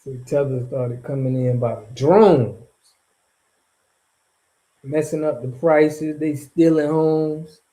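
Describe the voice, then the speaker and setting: A man talks loosely to his chat in a livestream, animated and mocking, full of slang and quick laughs. His voice sits a little high for a man, with a soft, mellow tone. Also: low-quality recording. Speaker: streamer reacting to viewer chat. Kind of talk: casual livestream commentary.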